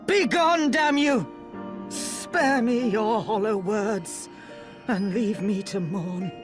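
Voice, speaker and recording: A woman's voice: mid-range voice, slow pace, music in the background, lightly acted. A woman playing a bitter, grieving, defiant warrior in a video game, with solemn, formal diction. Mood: bitter grief. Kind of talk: video game cutscene acting.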